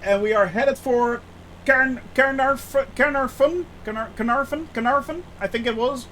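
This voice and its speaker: A man's voice: very high-pitched, moderate pace, a bit bright, a little hiss or hum. A man with a thick Dutch accent chatting casually on a live stream, hesitant with many restarts and frequent laughter. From Netherlands. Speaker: flight sim streamer.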